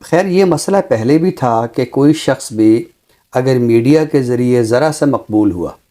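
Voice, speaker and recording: A man speaking as a teacher, explaining his views deliberately with emphatic repetition. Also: medium pitch, expressive, mellow. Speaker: Urdu teacher, programme guest. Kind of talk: considered discussion, explanatory.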